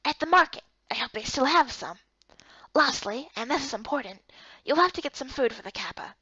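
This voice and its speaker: A woman voicing a calm, matter-of-fact leader who hands out tasks in a game story. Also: sits high, a little fast, breathy edge, no echo, heavily acted. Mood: calm, instructive. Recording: dull lo-fi close recording.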